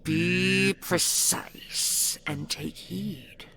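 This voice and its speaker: A woman playing a proud, possessive female dragon in an audio roleplay, haughty and theatrical, with a gravelly, menacing delivery. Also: fairly low pitch, fairly bright tone, a little hiss or hum, full character voice. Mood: furious, menacing. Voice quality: gravelly, breathy growls. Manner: haughty, theatrical, slow deliberate threats.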